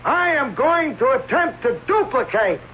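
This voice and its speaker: A grandiose old escape artist. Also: male voice, very high voice, big pitch swings, unhurried, exaggerated voice. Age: older. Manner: grand, theatrical showman patter. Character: elderly male stage escape artist, boastful showman. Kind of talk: 1940s radio acting. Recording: tinny old radio recording with hiss.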